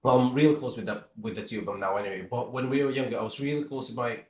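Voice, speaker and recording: A man in his thirties, from the Philippines, talking as a podcast guest in a relaxed, rambling way with many restarts. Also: mid-range voice, very fast, echoey room, slight background noise. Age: 30s. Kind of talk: casual interview chat.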